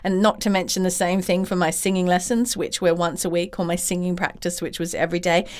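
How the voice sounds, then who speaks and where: A woman hosting her own podcast as a creativity coach, talking straight to listeners in an encouraging way, a little croaky from a chest cold. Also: on the low side, flat delivery, fairly quick. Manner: warm, encouraging, direct to listeners. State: slightly croaky from bronchitis. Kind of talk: solo podcast talk.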